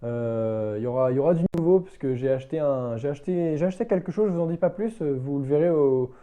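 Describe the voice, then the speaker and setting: A man giving a casual, unscripted product review as a motorbike vlogger, in a hesitant, halting way that circles back to rephrase. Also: fairly high pitch, warm tone, muffled recording.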